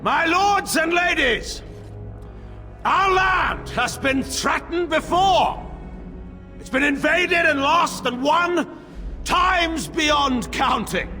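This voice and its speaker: Proud middle-aged general, game drama, high-pitched for a man, hard-edged, commanding, grandiose, echoing hall. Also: animated, slow pace, heavily acted. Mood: rousing, defiant. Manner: oratorical, forceful, grandiose delivery. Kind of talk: dramatic game cutscene acting. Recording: long echoing hall, faint music behind.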